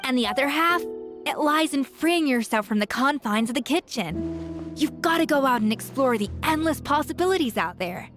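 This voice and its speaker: A woman's voice: sits high, lively intonation, moderate pace, music in the background. A woman playing a self-assured young chef in a video game, passionate and articulate as she explains things. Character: confident, exacting young female chef, passionate about cooking. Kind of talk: video game character dialogue.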